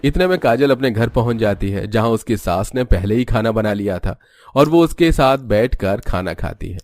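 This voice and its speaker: A man's voice: on the low side, animated, moderate pace, darkish tone, no echo. A man narrating a scripted cartoon family story.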